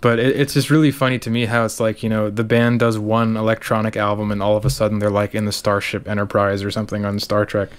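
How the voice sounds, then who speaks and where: A man with a smooth, clear voice pitched a little low. He talks casually with his co-host on a podcast and fills his sentences with easygoing fillers. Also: level tone, fast, few pauses. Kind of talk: casual chat.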